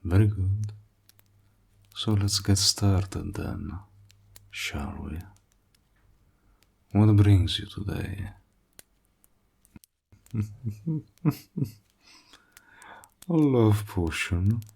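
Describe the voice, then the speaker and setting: Casting: male actor as a silky warlock host for intimate audio roleplay. Deep, extremely unhurried, soft-spoken, gracious and flirty with quiet menace. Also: heavily acted. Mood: calm, persuasive, then flattering, teasing. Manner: soft-spoken, breathy, slow and deliberate, flattering. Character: suave, courteous male warlock, hospitable, flirtatious, slyly menacing.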